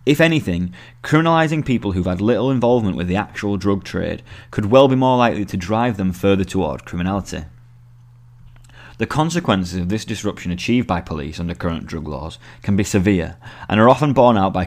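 A man's voice: low-pitched, expressive, quick pace. A man narrating a scripted long-form article as the journalist who wrote it. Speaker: journalist reading own article.

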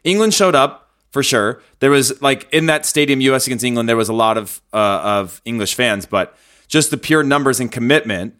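A man's voice: mid-range voice, expressive, normal speed, fairly bright tone. Emphatic man in casual podcast chat. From United States. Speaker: podcast host.

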